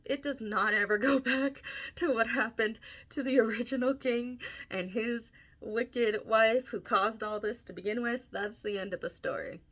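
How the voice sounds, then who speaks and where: A woman's voice: fairly high pitch, normal speed, a bit bright. A woman hosting a podcast casually retells a fairy tale in an informal way, adding wry asides as she goes. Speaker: podcast host and author.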